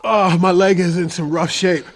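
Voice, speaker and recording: An actor playing a gruff, self-doubting older survivor, wounded and weary, in an audio drama, with a husky, gravelly voice and a halting, strained delivery. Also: male voice, high-pitched, fairly even intonation. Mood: pained, pleading. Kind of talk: post-apocalyptic audio drama acting.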